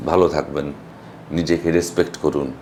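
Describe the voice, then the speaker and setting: A man with an Indian Bengali accent delivering a motivational monologue as a storyteller, earnest and emphatic, with dramatic pauses and some English phrases mixed in. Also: very deep voice, flat delivery, darkish tone, a little hiss or hum. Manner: mixes English phrases into speech. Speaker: motivational vlogger.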